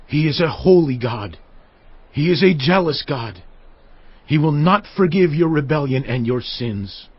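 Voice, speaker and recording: An actor playing a stern, commanding Israelite leader, declaiming solemnly and emphatically in a dramatized audio Bible. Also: male voice, on the high side, lively intonation, measured pace, breathy edge, dry recording, dull audio. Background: music.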